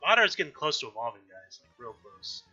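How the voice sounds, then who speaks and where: Bright nasal man narrating gameplay. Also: medium pitch, very animated, fast. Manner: chatty, frequent self-corrections and throat clearing. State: slightly nasal and dry-throated from allergies. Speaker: gaming video host. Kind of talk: casual let's-play commentary. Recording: dull lo-fi audio with faint background music.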